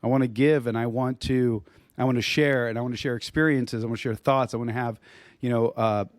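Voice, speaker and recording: Hoarse man rambling on a podcast. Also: medium pitch, steady tone, brisk, dry recording, studio quality. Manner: rambling, frequent restarts and throat clearing. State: hoarse, losing his voice. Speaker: podcast co-host. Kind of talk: casual podcast chat.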